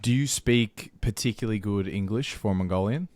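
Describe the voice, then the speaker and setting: Male podcast host, low voice, halting questions, dry close sound. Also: darkish tone, no room sound. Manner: hesitant, searching questions with fillers. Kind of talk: conversational interview.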